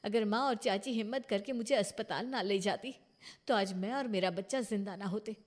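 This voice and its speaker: A woman's voice: mid-range voice, moderate pace, lightly acted. A woman voicing a wronged, defiant young wife and mother in a cartoon moral story, indignant and emphatic. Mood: hurt, reproachful.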